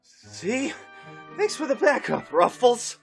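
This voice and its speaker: A man's voice: very high-pitched, wildly swooping pitch, takes it slowly, creaky, faint music behind, exaggerated voice. A man playing a boastful, dim, muscle-proud warrior with cocky, slangy bluster in a fan audio drama. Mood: cocky boasting.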